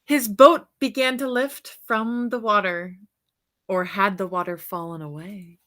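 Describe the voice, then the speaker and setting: A woman's voice: mid-range voice, steady tone, unhurried, fairly bright tone. A woman reading a picture book aloud as a teacher-storyteller, stretching out words and pointing out details to young listeners.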